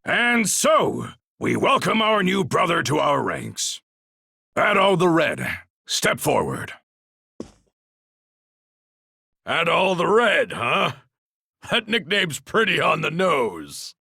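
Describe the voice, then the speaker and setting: An actor playing a brash, jovial warrior in a video game, with a gravelly, raspy voice and a bluff, teasing manner. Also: male voice, on the high side, sing-song, takes it slowly, bright, forceful tone, no echo, clean studio sound, full character voice. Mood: announcing, amused. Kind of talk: scripted video game acting.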